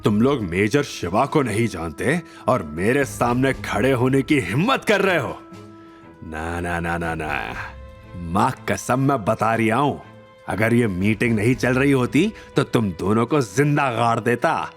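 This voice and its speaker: A man's voice: mid-range voice, lively intonation, faint music behind. A man voicing a short, boastful veteran underworld hitman with a swaggering, threatening manner in an audio drama. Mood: menacing, scornful. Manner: swaggering, drawn-out threats with repeated catchphrases.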